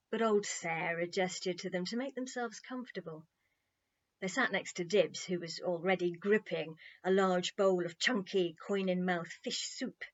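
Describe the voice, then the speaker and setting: A woman's voice: on the low side, highs cut off. A woman narrating a children's story expressively, in a playful, wry, conversational way.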